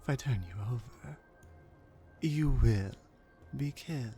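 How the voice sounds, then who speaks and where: A dominant orc warrior woman, acted by a woman for an ASMR roleplay, speaks very slowly and deliberately. Her pitch swings widely as she mocks and threatens, sometimes sinking into a whisper. Music plays behind her. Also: lively intonation, mellow, exaggerated voice. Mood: menacing. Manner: menacing, mocking, slow and deliberate, often dropping to breathy whispers. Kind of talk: ASMR roleplay, slow dramatic monologue.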